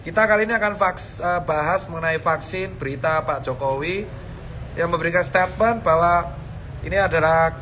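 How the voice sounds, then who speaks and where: A man with a lilt gives health advice in a high, ringing voice. His delivery is so blunt and fierce that it comes across as angry, and he keeps tossing slangy "boss" and "bro" at his listeners. A faint hiss sits under the voice. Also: moderate pace, a bit bright. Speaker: vlogger giving health advice. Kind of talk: casual direct-to-camera talk.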